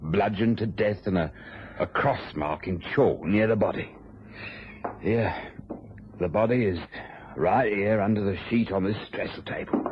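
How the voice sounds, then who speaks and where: An actor playing a weary, sombre police inspector in a vintage radio drama. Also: male voice, fairly low pitch, a little slow, pauses often, noisy background, natural voice. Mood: sombre, apologetic. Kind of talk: 1950s-60s British radio drama acting. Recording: muffled vintage radio recording.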